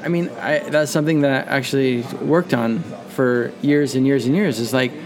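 Rambling man over loud crowd noise. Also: medium pitch, rough recording. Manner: rambling, halting, swears casually. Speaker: guest, surf photographer. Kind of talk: casual interview chat.

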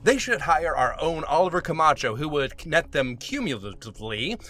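A man's voice: fairly high pitch, big pitch swings, normal speed, fairly bright tone, soft background music. A man co-hosting a podcast, moving between scripted news reading and casual banter. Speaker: podcast co-host.

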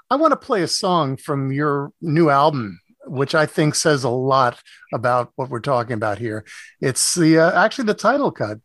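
Higher-voiced male host, halting delivery. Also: fairly bright tone, dry recording, studio quality. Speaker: radio show host. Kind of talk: casual interview hosting.

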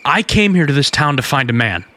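An American actor playing an earnest young undercover detective, courteous but insistent, in a radio drama. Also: male voice, fairly high pitch, big pitch swings, brisk, bright, forceful tone, slight background noise. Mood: urgent, grave. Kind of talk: old-time radio drama acting.

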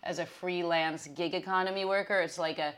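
A woman's voice: on the low side, fairly even intonation, a little fast, fairly bright tone. Chatty self-deprecating woman in her forties. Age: 40s. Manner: chatty, self-deprecating, laughs often, trails off and restarts. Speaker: podcast host. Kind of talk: casual chat.

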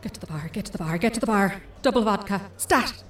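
An actress as a lively, mouthy female inner voice. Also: mid-range voice, fast talker, reverberant, far from the mic, lightly acted. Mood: sardonic, searching. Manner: sardonic, exasperated, sweary. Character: mischievous, mouthy inner voice of a dating-weary young woman. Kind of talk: audio drama comedy acting.

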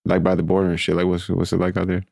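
Male interviewer, low and fast, casual and laid-back, dry sound. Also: monotone, vocal fry, a little mellow, no echo. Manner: laid-back, short back-channel responses.

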